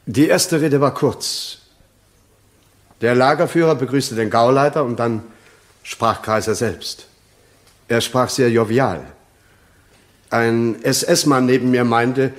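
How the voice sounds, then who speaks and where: An actor playing an earnest former soldier testifying in a radio crime drama, sober, deliberate and respectful. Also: male voice, medium pitch, natural voice.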